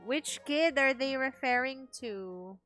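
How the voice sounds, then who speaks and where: A woman's voice: sits high, slow, a bit bright, dry recording, rough recording. A woman who speaks Filipino natively, reacting casually as she live streams a game. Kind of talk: casual reactions during gameplay. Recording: faint game music behind. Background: game music.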